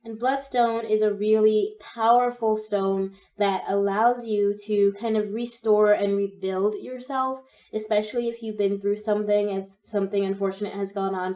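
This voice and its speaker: A young woman with a cold gives a gentle, encouraging tarot reading, her voice slightly croaky. Her tone is soft and mellow. The audio sounds dull and a little distant, as if she sits back from the mic. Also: mid-range voice. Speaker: tarot reader. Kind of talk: pick-a-card tarot reading.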